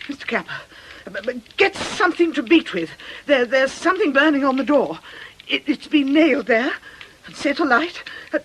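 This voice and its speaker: A British actress playing a brisk, sensible, ageing nun in a radio drama, articulate and gently scolding. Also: female voice, high-pitched, animated, slight background noise. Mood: alarmed, breathless. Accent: British English. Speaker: actor.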